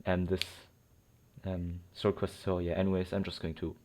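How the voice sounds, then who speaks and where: Very deep-voiced rambling gamer man. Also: flat delivery, normal speed, dark tone. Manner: rambling, forgetful, sighing. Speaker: gameplay streamer. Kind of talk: casual gameplay commentary.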